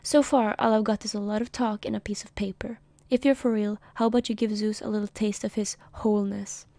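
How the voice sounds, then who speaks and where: A woman's voice: mid-range voice, steady tone, brisk, dark tone, dry recording, lightly acted. A woman voicing a boastful, wisecracking male sky god while reading a comic aloud on a stream. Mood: cocky. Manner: cocky, wisecracking.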